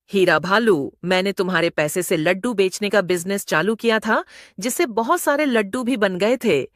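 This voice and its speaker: Woman voicing an earnest, lively cartoon mother elephant. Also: mid-range voice, no room sound, studio quality. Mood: regretful. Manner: earnest, explanatory. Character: kind, selfless mother elephant. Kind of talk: Hindi animated moral story dialogue.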